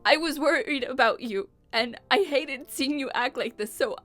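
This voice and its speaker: A woman's voice: high voice, moderate pace, a bit bright, dry recording, soft background music. A woman playing an earnest, anguished young heiress in love, pleading emotionally in a fan comic dub.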